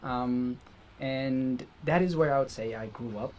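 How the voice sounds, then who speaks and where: A man's voice: medium pitch, measured pace, darkish tone. Young man from Somalia, hesitant. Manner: hesitant, many fillers. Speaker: guest. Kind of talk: casual podcast chat. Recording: noisy background.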